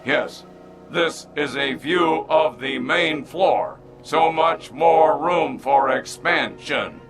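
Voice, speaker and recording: An actor playing a cool, self-assured robot executive in a radio drama, speaking in a measured, formal, precise manner. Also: male voice, on the high side. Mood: coolly pleased. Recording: radio drama with music underneath.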